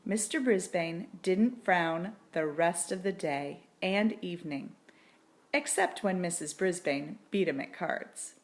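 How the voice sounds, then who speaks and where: A woman reading a children's book aloud in a deliberate, expressive storybook narration. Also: lowish voice.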